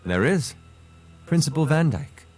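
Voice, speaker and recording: An actor playing an eloquent, playful noble prince in a game cutscene, with a refined, theatrical manner. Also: male voice, a little high, very animated, a little slow, darkish tone. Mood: informative, admiring. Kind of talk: scripted game cutscene acting. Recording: music behind the voice.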